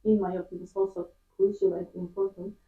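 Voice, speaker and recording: A woman's voice: mid-range voice, flat delivery, fast, soft background music. A middle-aged woman who is not a native English speaker, giving earnest interview answers. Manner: earnest, uses non-idiomatic phrasing. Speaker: interviewee, company employee. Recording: echoey room, distant slightly dull mic.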